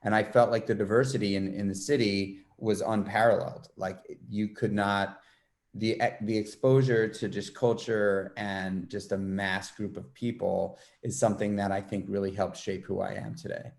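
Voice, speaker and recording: A man with a lowish voice hosts a casual podcast interview. He rambles easily and leans on fillers as he talks. He is a New York City native. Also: little pitch movement, normal speed, gravelly, darkish tone. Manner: loose and rambling, full of fillers. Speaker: podcast host.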